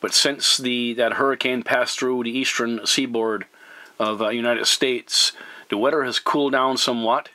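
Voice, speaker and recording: A man with a bright, forceful voice at middle pitch works a CB radio contact. He is courteous and deliberate, speaking in clear procedural phrases. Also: fairly even intonation, no room sound, rough recording. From Canada. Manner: courteous, deliberate, spells words phonetically. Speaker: amateur CB radio operator.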